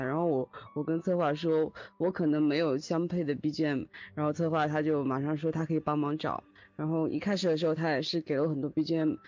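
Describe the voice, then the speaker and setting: A man with a strikingly high voice rambles animatedly through a casual story. The sound is muffled and lo-fi, with music playing faintly underneath. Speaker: audio drama post-production editor. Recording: dull lo-fi voice chat with music.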